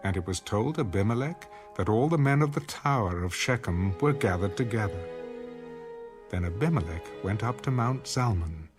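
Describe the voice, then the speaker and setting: Male narrator, low register, solemn measured scripture reading with music underneath. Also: lively intonation. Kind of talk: dramatized audio Bible narration. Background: background music.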